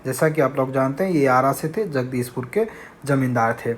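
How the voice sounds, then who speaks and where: A man's voice: medium pitch, normal speed, slight background noise. A man teaching an exam-prep lesson, reading out questions and explaining answers in a didactic, repetitive way. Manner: didactic, repeats key phrases.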